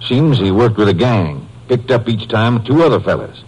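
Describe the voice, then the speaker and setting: A plain-spoken sheriff in a 1950s radio drama. His low, husky voice moves with a lot of swing, folksy and informal. It comes from an old radio recording. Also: lively intonation, moderate pace, darkish tone, poor audio. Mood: businesslike, folksy. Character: folksy, loyal small-town Texas sheriff, plain-spoken.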